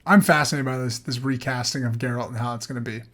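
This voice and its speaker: A man's voice: medium pitch, fairly even intonation, quick pace, a bit bright. A man hosting a podcast in casual group chat, informal and given to swearing. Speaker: podcast host.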